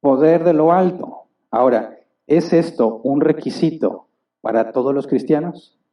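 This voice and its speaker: A man's voice: a little high, a little slow, warm tone. A man preaching a sermon as a pastor, asking the congregation questions and acting out little exchanges. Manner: asks the congregation rhetorical questions; acts out little dialogues. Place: church hall. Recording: distant, dull church recording.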